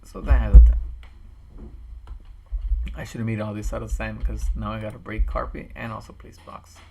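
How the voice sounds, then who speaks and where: Low-pitched man with a gravelly, tired voice who rambles while building a game map on a stream. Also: flat delivery, normal speed, music in the background. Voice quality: raspy, tired voice. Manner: rambling, thinks aloud with restarts. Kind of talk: casual commentary while building. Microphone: desk microphone.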